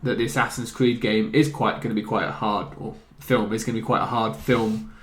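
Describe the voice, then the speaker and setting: A man's voice: lowish voice, level tone, a little fast, fairly bright tone. Man chatting casually in an echoey room. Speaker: podcast co-host. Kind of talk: casual discussion chat.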